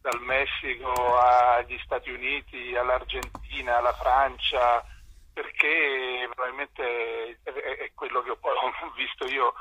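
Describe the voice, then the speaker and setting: A dull, lo-fi recording of a man with a bright but raspy voice. He explains at length as an interview guest, hesitating and repeating little words. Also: mid-range voice. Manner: hesitant, stutter-like repeats while searching for words. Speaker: translator and theatre director, interview guest. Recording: dull, lo-fi phone-in sound.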